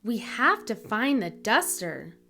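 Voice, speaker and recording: A woman voicing a helpful, excitable little robot friend in a children's storybook read-aloud. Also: fairly high pitch, slow pace, gravelly, heavily acted. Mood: earnest, explaining.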